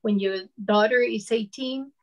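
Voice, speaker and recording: Higher-voiced Venezuelan woman in her fifties. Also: measured pace. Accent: Venezuelan Spanish. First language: Spanish. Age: 50s. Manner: conversational, frequent "you know". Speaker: panelist. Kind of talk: personal account on a discussion panel.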